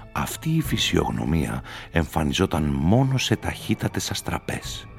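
A male narrator reads literary fiction in a dramatic style. His voice is extremely deep, with a heavy creak. He takes it slowly and deliberately, with music faintly underneath. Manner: slow, deliberate.

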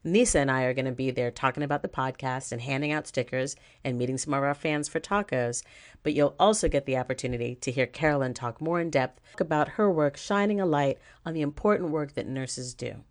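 A woman co-hosting a podcast, reading a scripted introduction and then chatting with a guest, upbeat and quick to laugh. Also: rumbling low. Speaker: podcast co-host, not a nurse.

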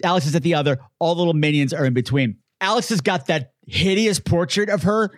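A man co-hosting a casual film discussion podcast, talking in an animated, emphatic way. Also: a little high, fast, no room sound, studio quality. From Long Island, New York. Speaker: podcast co-host.

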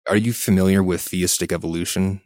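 Male co-host, deep register, articulate casual explainer, no room sound. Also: fairly even intonation, brisk, a little mellow, dry recording, clean studio sound. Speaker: podcast co-host. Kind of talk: casual discussion.

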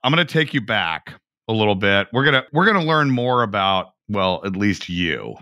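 A man's voice: fairly low pitch, very animated, moderate pace, pushed and bright, dry recording. A man hosting a podcast, asking questions in a playful, joking manner. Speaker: podcast host. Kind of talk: casual interview.

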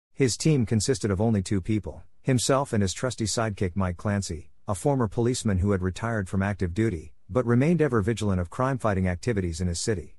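An American man reads a scripted show introduction as a podcast host. His voice sits fairly low and is captured close, with very little room sound. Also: a little mellow, no room sound. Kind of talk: scripted narration, episode introduction.

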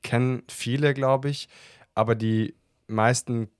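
A man's voice: mid-range voice, fairly even intonation, slow pace, clear, resonant tone, no echo, studio quality. A talkative man hosting a casual podcast chat, often breaking into laughter while answering questions. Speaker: podcast host.

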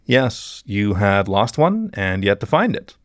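A man's voice: on the low side, expressive, a little fast, no echo, studio quality. A man voicing a stern private detective in a story, questioning in a firm, controlled way. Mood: stern, probing.

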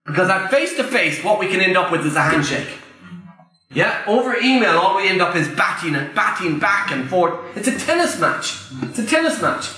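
A man's voice: high voice, sing-song, normal speed, sharp tone, faint music behind. Emphatic Irish man in an echoey room. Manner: emphatic, repeats key phrases, addresses the crowd directly. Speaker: property investment trainer. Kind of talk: live Q&A coaching talk to an audience. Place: event room before a live audience.

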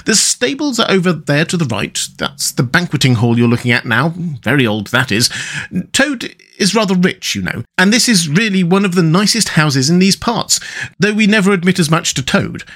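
Man acting a storybook water rat, high-pitched, very animated, good-natured, brisk, emphatic. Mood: warm, chatty. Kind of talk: storybook character dialogue.

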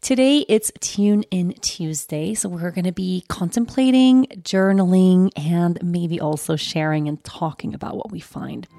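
Woman, gentle guide, low warm voice, gravelly edges, soft half-whispers, dry close sound. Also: no echo. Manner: slow, soft, gentle guiding with whispered phrases. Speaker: podcast host. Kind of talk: guided self-care reflection.

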